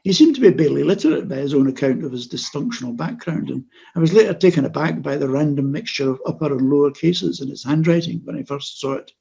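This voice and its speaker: An older man, reading his own memoir prose aloud in a measured, wry manner over a video call. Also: a little high, animated, gravelly, dark tone, husky, lo-fi sound. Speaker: author.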